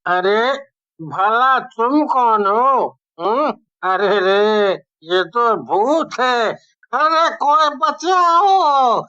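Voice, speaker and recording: A performer voicing a silly, easily scared drunken man in a cartoon, with drawn-out delivery. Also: mid-range voice, expressive, sharp tone, clear, resonant tone, highs cut off, exaggerated voice. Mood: tipsy alarm. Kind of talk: hobby cartoon story acting.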